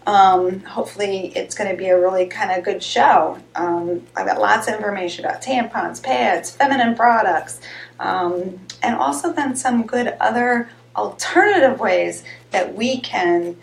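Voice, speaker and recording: A woman hosting a live health show, chatting casually and rambling a little, with a slightly hoarse, raspy voice. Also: medium pitch, measured pace. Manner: chatty, rambling, greets viewers by name. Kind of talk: casual live-stream chat. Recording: echoey room.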